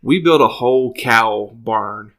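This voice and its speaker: A man's voice: medium pitch, takes it slowly, over a noisy crowd. A man co-hosting a podcast, telling anecdotes casually and plainly, often repeating words. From small town in the southern US. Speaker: podcast co-host. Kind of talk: casual storytelling chat.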